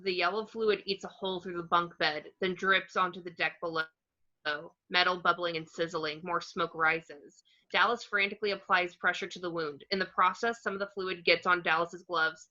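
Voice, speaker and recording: A woman's voice: fairly low pitch, sharp tone, dull audio. A woman reading screenplay stage directions aloud as scripted narration.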